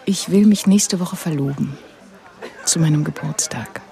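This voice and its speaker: Slow, creaky-voiced assured woman. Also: low voice, warm tone. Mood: teasing. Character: assured, dismissive politician, lawyer's fiancée. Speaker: actress in supporting part. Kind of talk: radio play dialogue. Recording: faint ambient noise.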